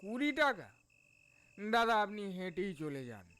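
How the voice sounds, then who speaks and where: A man's voice: high voice, very animated, moderate pace, fairly bright tone, clean tone. A man playing a stubborn, haggling auto-rickshaw driver in a cartoon story, insistent and protesting. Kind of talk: dramatised cartoon dialogue. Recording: music bed behind the voice. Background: music.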